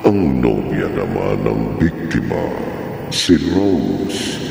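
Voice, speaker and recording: A sinister horror storyteller with a Filipino accent, extremely deep and crawling along very slowly. The voice booms in long, echoing reverb over music, ominous and theatrical, built for radio drama. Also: male voice, very animated, vocal fry, a little mellow, slight background noise, full character voice. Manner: slow, ominous, drawn-out.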